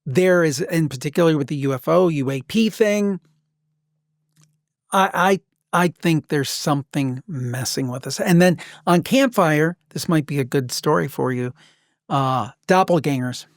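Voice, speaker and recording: A man hosting a podcast, telling stories casually and emphatically, with deliberate pauses. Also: a little high, dry recording, studio quality. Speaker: podcast host. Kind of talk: casual conversational storytelling.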